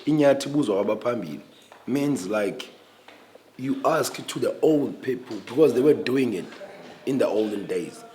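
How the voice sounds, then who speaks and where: A man's voice: medium pitch, lively intonation, moderate pace, warm tone, a little hiss or hum. A man in his twenties, a native Xhosa speaker, talking earnestly in a casual panel discussion with many restarts. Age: 20s.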